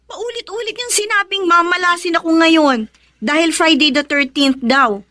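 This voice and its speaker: A woman's voice: very high-pitched, moderate pace, bright, forceful tone. A woman playing a young, practical but plaintive commuter in a Filipino radio drama. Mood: rueful, annoyed.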